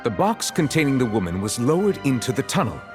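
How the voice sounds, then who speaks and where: An actor playing a solemn, formal chief justice presiding over a trial in a video game, speaking in a measured, courteous way. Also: male voice, mid-range voice, sing-song, over music, lightly acted. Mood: solemn recounting.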